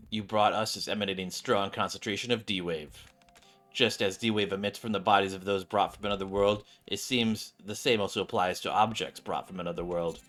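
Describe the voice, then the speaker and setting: A man's voice: on the low side, fairly bright tone. Man reading game story aloud over music. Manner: stumbles over words and rereads. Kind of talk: gaming playthrough, dramatic read-aloud of story text. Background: video game music.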